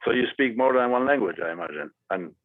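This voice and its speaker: A man speaking as a guest to a class and answering student questions, with many pauses and fillers. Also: medium pitch, animated, dry recording. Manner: hesitant, many fillers and restarts. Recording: dry tinny phone-like audio.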